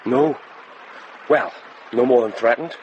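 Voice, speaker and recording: A man's voice: mid-range voice, slow, muffled recording, lightly acted. A man acting a frank young soldier, noisy background. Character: earnest young Welsh soldier, a wounded prisoner. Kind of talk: period radio drama acting. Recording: radio drama with loud background ambience.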